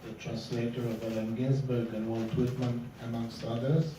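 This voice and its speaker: A man talks informally, introducing a poem at a literary gathering. His voice comes from a distance in a strongly echoing room, over clear background noise. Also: medium pitch, level tone, moderate pace. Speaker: poet introducing own poem. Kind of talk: informal spoken introduction at a reading. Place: indoor literary meeting room. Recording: very echoey, distant, noisy room.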